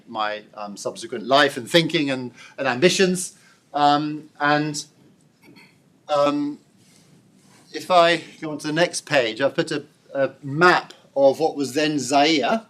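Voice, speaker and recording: A middle-aged man giving a thoughtful public lecture, pausing often with fillers and restarts. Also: mid-range voice, a bit bright. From born and raised in Congo. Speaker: professor giving an inaugural lecture. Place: lecture hall.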